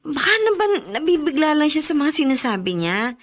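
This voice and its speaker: A Filipino woman playing a meek, gentle young wife in a radio drama. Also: sits high, big pitch swings, fairly quick. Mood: weak, forgiving. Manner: gentle, conciliatory.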